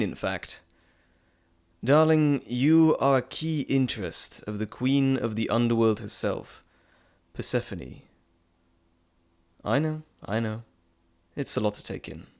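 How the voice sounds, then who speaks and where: Guy doing a playful greek messenger god, chatty n fond, medium pitch, teasing you in an audio roleplay. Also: vocal fry. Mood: confiding. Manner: chatty, teasing, theatrical asides and pauses. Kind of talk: intimate audio roleplay to listener.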